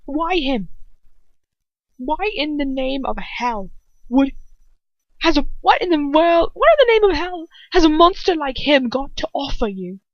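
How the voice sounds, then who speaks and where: A woman's voice: sits high, big pitch swings, a little slow, dry recording, muffled recording, pitched above the performer's own voice, full character voice. A woman voicing an anguished, betrayed young man with bitter, pained delivery in a story reading. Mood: bitter, demanding.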